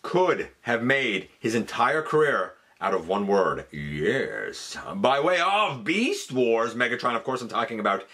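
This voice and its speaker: A man's voice: mid-range voice, big pitch swings, a little slow, hardly any pauses, sharp tone. A man hosting a countdown with enthusiastic commentary, wordy and theatrical in his emphasis, dropping into conversational asides. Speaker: host of a countdown video.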